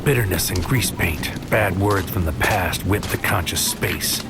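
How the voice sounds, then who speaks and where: An actor narrating as a bitter psychic soldier, delivering poetic, clipped noir lines in a brooding manner. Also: male voice, deep voice, measured pace, loud music behind the voice. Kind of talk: noir spoken-word scripted narration.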